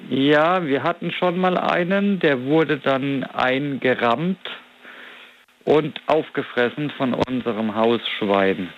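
A man's voice: mid-range voice, slow, lo-fi sound, slight background noise. A man of about forty talking in a casual interview, reflective and rambling as he searches for his words. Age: 40s. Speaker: interview guest.

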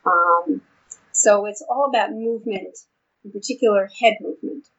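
An older woman with a New York accent, explaining her research in a relaxed interview with frequent ums. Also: medium pitch, normal speed. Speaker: vestibular researcher and therapist. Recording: dull lo-fi audio.

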